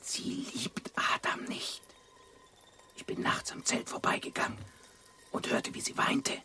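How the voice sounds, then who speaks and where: Ein Schauspieler spielt in einem deutschen Hörspiel einen gelangweilten, verkrüppelten Ex-Raufbold, der zum Leser wurde, schlicht und neckend im Ton. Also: male voice, a little slow, bright, forceful tone, whispered. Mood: conspiratorial whisper. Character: crippled former brawler turned bookish, bored, teasing survivor. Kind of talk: German radio play acting. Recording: radio play with clear background noise.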